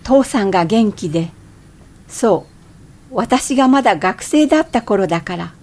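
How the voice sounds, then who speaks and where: An actress playing an irritable middle-aged woman hiker who narrates her thoughts in expressive radio drama style. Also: mid-range voice, moderate pace. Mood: reflective. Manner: expressive, theatrical inner monologue.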